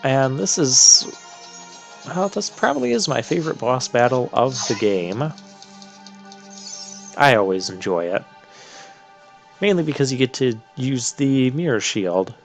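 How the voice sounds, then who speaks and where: A man hosts a video game playthrough with casual commentary. He speaks at an unhurried, slow pace, and game music plays clearly behind his voice. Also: mid-range voice, very animated.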